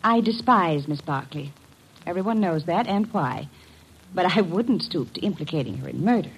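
A woman's voice: fairly low pitch, lively intonation, normal speed, slight background noise, poor audio. An actress playing a haughty, scornful married woman under suspicion in a classic radio drama, cool, cutting and formal.

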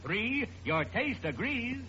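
A man delivering a scripted mid-century radio commercial pitch. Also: sing-song, slow, bright, forceful tone, slight background noise. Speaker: commercial announcer. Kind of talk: 1940s-50s radio advertising pitch. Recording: dull old radio recording. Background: music.

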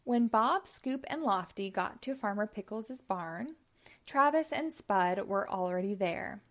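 A woman reading a story aloud for young children in a deliberate storytelling style. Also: medium pitch, lively intonation, fairly bright tone.

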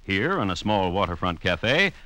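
An actor playing a deadpan, wry connoisseur of crime, hosting and narrating an old-time radio show with deliberate phrasing. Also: male voice, low-pitched, no room sound, full character voice. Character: dry, wry male connoisseur of crime, storytelling host. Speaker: host and narrator. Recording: hissy old radio recording.

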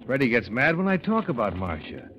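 An actor playing a wry, tough, clipped-talking investigator in an old-time radio detective drama. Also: male voice, medium pitch, huge pitch swings, brisk. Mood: needling, tough. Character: wry, hard-boiled insurance investigator, male, tough and needling. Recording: dull, noisy old radio recording.

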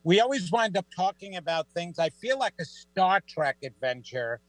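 A middle-aged man with a New York accent, a psychic guest on a talk show, telling stories in a lively, joking way. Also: high voice, normal speed, dry recording, soft background music, rough recording. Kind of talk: casual radio chat.

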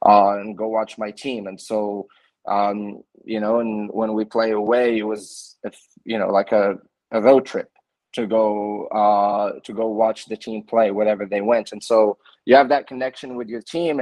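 A man's voice: on the low side, little pitch movement, moderate pace, darkish tone, dry recording, rough recording. A man from Morocco, a soccer coach, answering interview questions casually and linking his thoughts with "and so". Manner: strings thoughts together with "and so".

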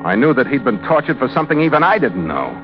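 An actor playing a tough, wisecracking sea captain in an old radio drama, with an American accent and terse, hard-boiled delivery. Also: male voice, mid-range voice, very animated, quick pace, lightly acted. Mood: hard-boiled, brooding. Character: tough, sardonic male sea captain, narrating his adventure. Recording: dull vintage radio recording, music behind.

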